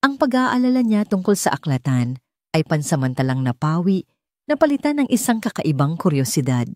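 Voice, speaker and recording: Mellow, even-toned woman narrating a romance. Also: on the low side, normal speed, no echo, clean studio sound. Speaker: audiobook narrator. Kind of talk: scripted romance story narration.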